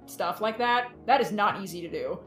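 Female podcast guest, animated intonation, relaxed chat, echoey room with faint music. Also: medium pitch, normal speed. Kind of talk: casual chat. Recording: roomy echo, faint music behind.

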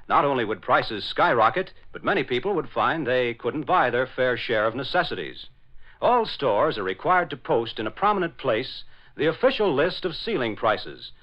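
Vintage radio narrator: American male, highly varied intonation, scripted delivery, music behind, muffled recording. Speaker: announcer and narrator. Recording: dull old radio broadcast.

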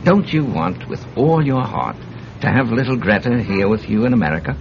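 An actor playing a suave, sinister Soviet spy in a radio drama, with a cultured English accent and a polite, insinuating manner. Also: male voice, medium pitch, lively intonation, normal speed, natural voice. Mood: insinuating, menacing. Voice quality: cultured, well-educated voice. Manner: polite, insinuating, quietly menacing. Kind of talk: old-time radio drama acting. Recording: dull, noisy old radio recording.

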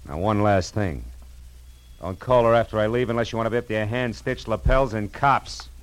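An actor playing a wry, tough private detective, clipped and world-weary, in a 1940s radio drama. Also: male voice, on the low side, moderate pace, natural voice. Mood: tough, pressing. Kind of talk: 1940s radio drama acting and noir narration. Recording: vintage radio recording with music.

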